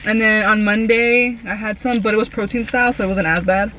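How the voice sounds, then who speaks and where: A woman's voice: mid-range voice, fairly even intonation, brisk, fairly bright tone, a little hiss or hum, low-quality recording. A young woman chatting casually to viewers as a vlog co-host, in an informal, conversational way. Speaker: vlogger, channel co-host.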